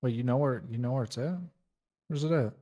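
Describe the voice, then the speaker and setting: A man's voice: medium pitch, fairly quick, dark tone, close to an everyday voice. A man playing a brash, curious criminal defendant in casual online roleplay, slangy and full of questions. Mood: baffled, curious.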